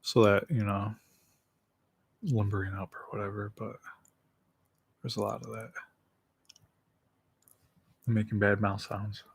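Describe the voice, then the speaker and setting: A man's voice: on the low side, little pitch movement, a little slow, vocal fry, pauses often, slightly rough. A man in his late twenties with a slight lisp, chatting casually and rambling with self-deprecating jokes on his own livestream. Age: late 20s. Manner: eating while talking. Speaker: livestream host. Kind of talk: casual solo livestream chat.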